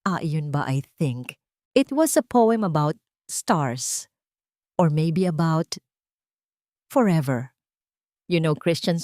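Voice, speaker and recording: A woman's voice: deep voice, a little mellow, dry recording, studio quality. A Filipino woman voicing an anxious young woman who fakes affection, hesitant and mixing languages. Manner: hesitant, switching between English and Tagalog.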